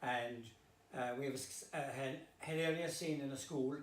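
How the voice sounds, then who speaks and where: A man's voice: medium pitch, fairly even intonation, normal speed. An older man with a Kerry Irish accent chatting in a jovial, playful and droll way. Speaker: pantomime producer, scriptwriter and performer. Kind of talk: jovial chat. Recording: echoey room, noticeable background noise.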